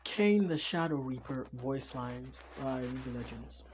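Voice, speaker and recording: A man's voice: medium pitch, a little mellow, dull audio, slight background noise. A young man, casually chatting and rambling with many restarts as a reaction video host. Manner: rambling, halting, full of fillers and restarts. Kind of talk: casual chat.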